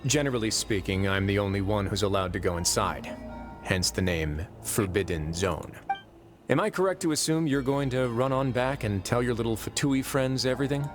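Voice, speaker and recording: An actor playing a calm, authoritative and wry warden of a prison fortress in a video game, speaking in measured, formal explanations. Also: male voice, lowish voice, close to an everyday voice. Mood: wry, composed. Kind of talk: English video game voice acting. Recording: game music playing behind the voice.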